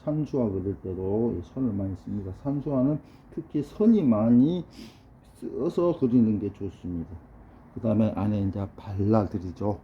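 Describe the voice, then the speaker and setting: A hoarse, middle-aged man with a cold gives a measured ink-painting lesson while he paints. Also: fairly low pitch. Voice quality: gravelly. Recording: dull lo-fi sound with faint noise.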